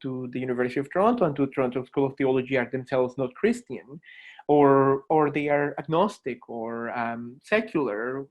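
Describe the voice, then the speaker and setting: A man in his thirties from Colombia, a native Spanish speaker with a Colombian accent, introducing himself to students as a lecturer in a reflective, halting way. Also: medium pitch, phone-quality sound. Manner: reflective, halting, with repeated words. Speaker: adjunct philosophy lecturer. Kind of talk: informal self-introduction to students.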